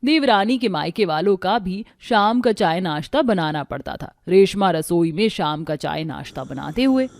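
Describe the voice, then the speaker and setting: A woman reading a scripted narration for an animated moral story. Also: on the low side, moderate pace, hardly any pauses, no room sound, studio quality.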